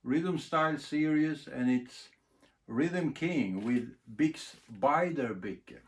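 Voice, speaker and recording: A man giving a casual, unscripted demonstration, thinking aloud in short, halting phrases. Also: a little high. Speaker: record collector presenting a demonstration. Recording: clear background noise, clicks.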